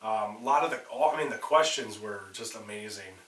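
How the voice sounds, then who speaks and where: A man's voice: fairly low pitch, fairly quick, a bit bright, echoey room, far from the mic. A man co-hosting a casual horror podcast, talking with a nasal stuffiness from a cold and swearing freely between restarts. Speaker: podcast co-host. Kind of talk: casual chat.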